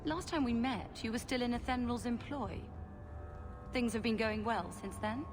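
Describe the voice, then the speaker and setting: A woman's voice: a little high, moderate pace, in a large hall, music in the background, natural voice. Actress as a poised, genteel lady potion seller. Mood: poised, coy. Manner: polite, coy, poised. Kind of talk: video game character dialogue.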